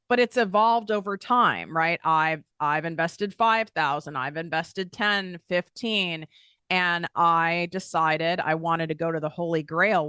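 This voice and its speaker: A woman's voice: fairly low pitch, fairly bright tone, smooth, no echo, clean studio sound. A woman hosting a motivational business podcast, talking candidly and with energy, acting out doubtful inner voices and laughing at herself. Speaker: podcast host and business coach. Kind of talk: motivational solo podcast talk.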